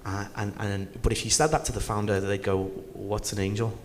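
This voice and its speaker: Man, toned-down liverpool accent, lowish voice, candid panel speaker, faint hum behind. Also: level tone, fairly quick, long reverb tail. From northwest England. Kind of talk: conference panel discussion.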